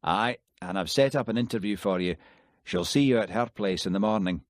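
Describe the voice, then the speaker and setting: A man's voice: fairly low pitch, brisk, dry recording, clean studio sound, lightly acted. A man doing a blunt detective. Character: brisk Scottish police detective, blunt and bossy.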